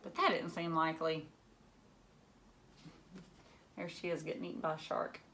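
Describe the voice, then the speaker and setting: A woman's voice: deep voice, steady tone, fast, gravelly, a bit bright, a little hiss or hum. A woman reading a picture book aloud to children, theatrically, with questioning asides to the young listeners.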